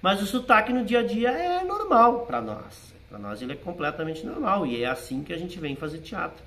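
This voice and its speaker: A man's voice: high voice, very animated, moderate pace, sharp tone. Man with a southern Brazilian German lilt. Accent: Rio Grande do Sul Portuguese with a German-settler lilt. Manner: reflective, nostalgic storytelling with frequent "né". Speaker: guest, theater writer and actor. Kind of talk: casual conversational interview.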